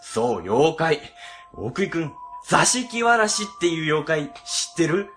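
A man playing a cowardly, dramatic middle-aged section chief in a comedic radio drama, stammering and excitable. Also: on the high side, unhurried, soft background music. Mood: ominous, hushed. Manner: stammering, excitable, exaggerated frightened delivery.

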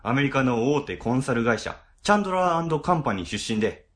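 A man's voice: medium pitch, very animated, fast talker, fairly bright tone. A man playing a middle-aged civil servant boss in a comic radio drama, chatty, easily flustered and ingratiating. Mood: casual, grumbling.

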